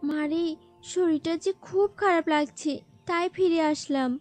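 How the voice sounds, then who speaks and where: A woman's voice: very high-pitched, a little slow, faint music behind. A woman voicing a poor, tender, worried mother bird in a children's cartoon. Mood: weary.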